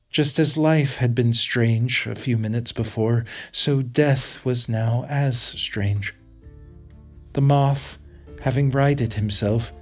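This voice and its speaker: A man reading a literary essay aloud in a measured, deliberate way, pausing between phrases. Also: medium pitch, highs cut off.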